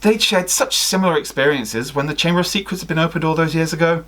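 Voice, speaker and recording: A man's voice: a little high, quick pace, fairly bright tone. A young man reading a story aloud expressively, with dramatic emphasis and the odd self-correction. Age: young adult. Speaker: guest reader on a livestream. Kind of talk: expressive fan fiction story reading with casual asides. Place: small home room with sloped ceiling.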